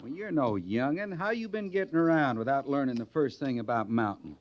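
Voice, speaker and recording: An actor playing a stern, plain-spoken cavalry sergeant with folksy grammar in an old radio western. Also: male voice, medium pitch, animated, a little fast, slight background noise, close to an everyday voice. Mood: stern, impatient. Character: gruff, no-nonsense cavalry sergeant, loyal and stern. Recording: muffled vintage radio recording.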